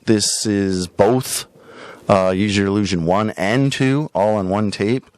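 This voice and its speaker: A man hosting a podcast in a casual, rambling way, showing items for sale with drawn-out emphasis and frequent tag questions. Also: on the low side, a little mellow, no room sound. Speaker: podcast host and record shop seller. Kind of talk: casual rambling product showcase.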